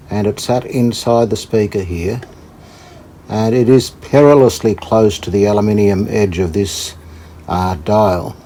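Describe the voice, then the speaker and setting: A man giving casual hands-on commentary as a hobby restorer, thinking aloud in a tentative, halting way. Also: fairly low pitch, mellow. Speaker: host, hobbyist radio restorer. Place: indoors, hobby workshop. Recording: clear background noise.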